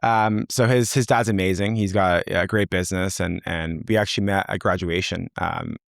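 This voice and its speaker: A man's voice: low voice, steady tone, moderate pace, vocal fry, dry recording, clean studio sound. A young man, a company founder, talking casually as an interview guest, with many fillers and restarts. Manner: loose, filler-heavy, rambling.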